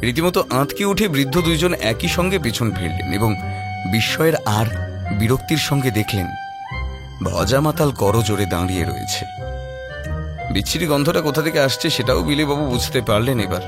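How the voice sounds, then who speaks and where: A man's voice: medium pitch, very animated, moderate pace, music in the background. A man narrating a horror audio story in a dramatic, scripted style.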